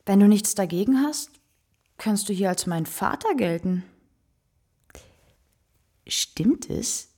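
A worldly courtesan in a radio play, frank and generous. Her voice is husky with a creaky, gravelly edge, and her delivery is theatrical and knowing. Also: medium pitch, mellow. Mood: knowing, teasing. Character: worldly, brazen kept woman, generous and theatrical. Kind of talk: radio drama acting.

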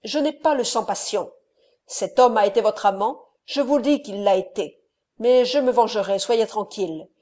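A woman voicing a furious, jealous nobleman who hurls loud accusations in an audiobook reading. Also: medium pitch, normal speed, close to an everyday voice. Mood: threatening.

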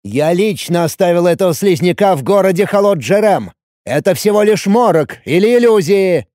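A man's voice: high voice, animated, normal speed, mellow, dry recording, clean studio sound, voice pushed up. A man voicing an irritated, disbelieving fortress commander in a fantasy audiobook, barking his words. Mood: irritated, shouting.

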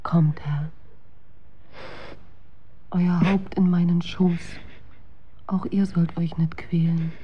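An actress playing a humble, tender woman in a historical radio drama, soothing and hushed. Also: on the low side, steady tone, slow, pauses often, husky. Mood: tender, consoling. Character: humble, consoling woman of olden times, tender and knowing. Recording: muffled, dull sound.

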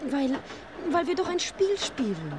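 A woman's voice: high voice, very animated, measured pace. An actress playing an anxious mother who nervously coaxes and soothes her small daughter in a radio crime drama. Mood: coaxing. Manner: coaxing, nervous. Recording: clear outdoor noise behind.

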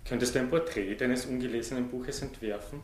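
A man's voice: medium pitch, a little fast. A man putting formal, prepared questions as an interviewer. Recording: roomy, faintly noisy interview recording.

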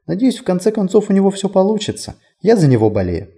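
A man's voice: high-pitched, sing-song, quick pace, mellow, voice pushed up. A man voicing a whimsical, chatty male tour guide in an audiobook, conversational, playful and self-deprecating. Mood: amused, chatty. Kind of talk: audiobook character dialogue.

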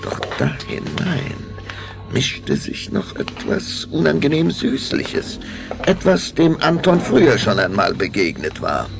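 A man's voice: a little high, sing-song, slow pace, gravelly, over music. A man narrating a children's radio play as a theatrical, suspenseful storyteller. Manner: suspenseful, theatrical, shifting to whispers.

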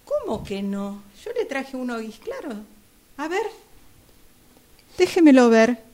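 Casting: woman as an obliging, slightly muddled Argentine shop girl for radio drama, Rioplatense accent, high voice, unhurried pace. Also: very animated, darkish tone, exaggerated voice. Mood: busy, obliging. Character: busy female shop assistant fetching trousers, obliging but muddled. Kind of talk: radio play acting.

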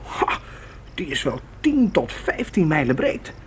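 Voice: mid-range voice, big pitch swings, measured pace. A keen, observant trail boy, given to marvelling exclamations. The voice moves up and down a lot, over faint noise and insect-like ambience. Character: Willy, keen observant boy on a pioneer trek. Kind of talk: Dutch radio play acting.

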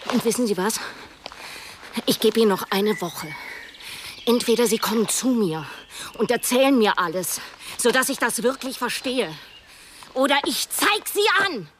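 An actress playing a hurt, indignant woman in a radio drama, insistent and halting as she confronts someone. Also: a little high, animated, noisy background, lightly acted. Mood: angry, threatening.

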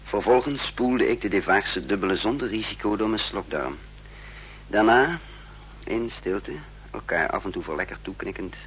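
Casting: sardonic, protective fugitive agent for radio drama, lowish voice, noticeably noisy tape. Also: male voice, a bit bright. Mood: narrating. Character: wily male fugitive agent, sardonic, protective of his companion. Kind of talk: Dutch radio play acting and first-person narration. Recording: noisy radio play recording.

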